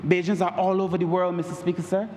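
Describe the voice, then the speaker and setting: A man's voice: level tone, fast, a little mellow. A man with a Barbadian accent giving an emphatic parliamentary speech, repeatedly addressing the Speaker. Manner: oratorical, repeats "Mister Speaker, sir" as a refrain; emphatic, rises in pitch on key points. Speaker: member of parliament. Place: large parliament chamber. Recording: echoey chamber with long reverb.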